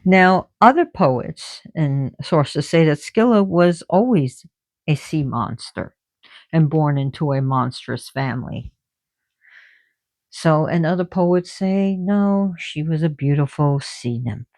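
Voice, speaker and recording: A woman giving a casual spiritual talk about myth and oracle cards, halting often and restarting as she searches for words. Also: sits low, animated, darkish tone, dry recording. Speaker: oracle card reader.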